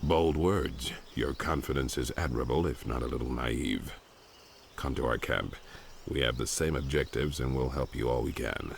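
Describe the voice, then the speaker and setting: A man playing a gruff, commanding rebel leader in a video game, with a measured, authoritative delivery and a deep gravelly voice. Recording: loud insect and ambience noise behind.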